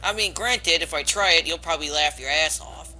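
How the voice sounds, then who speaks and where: A man's voice: fairly high pitch, a little fast, bright, forceful tone, soft background music, rough recording. A young man streaming a game live, rambling and self-mocking, swearing freely. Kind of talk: casual live game commentary.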